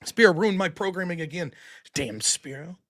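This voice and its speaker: Animated high-voiced man reading donations. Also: moderate pace. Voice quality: high-pitched voice, heavy breathing. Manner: animated, profane, yells about politics. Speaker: livestream host reading super chats. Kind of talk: casual livestream chat.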